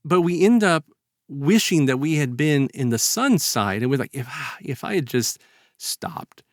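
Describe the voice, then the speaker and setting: A man's voice: fairly high pitch, lively intonation, a little slow, no echo, studio quality. A man speaking as a podcast host in an earnest, emphatic way, talking through ideas conversationally. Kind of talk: conversational discussion of a story's moral.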